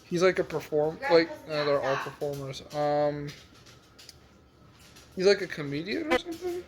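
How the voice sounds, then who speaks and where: A man's voice: on the high side, animated, moderate pace, crowd noise. A man hosting a reaction video with casual, hesitant commentary, thinking aloud. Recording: loud background noise.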